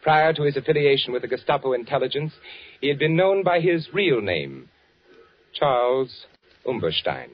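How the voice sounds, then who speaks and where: A man's voice: mid-range voice, big pitch swings, moderate pace, close to an everyday voice. An actor playing a haunted fugitive assassin who narrates his own story in clipped, dramatic 1940s radio style. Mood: measured, grave. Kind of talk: 1940s radio acting, first-person narration. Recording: muffled vintage radio broadcast.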